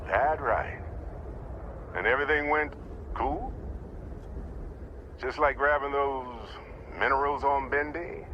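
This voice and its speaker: A man's voice: mid-range voice, slow pace, pauses often, bright, forceful tone, muffled recording, slight background noise. A man playing a jovial, roguish space explorer in a video game, breezy, teasing and theatrical. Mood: breezy, probing.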